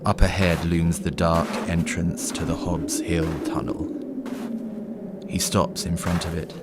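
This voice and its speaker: A man narrating a scripted horror story in a measured, descriptive way over loud storm ambience. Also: deep voice, flat delivery. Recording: heavy noise behind the voice.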